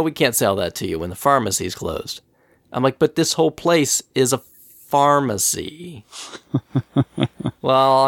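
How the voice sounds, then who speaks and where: A man's voice: mid-range voice, gravelly, dry recording, studio quality. A man hosting a podcast who has a cold and sounds a little nasal and scratchy, chatting casually and laughing often as he tells stories. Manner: chatty storyteller, laughs often, animated retelling. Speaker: podcast host.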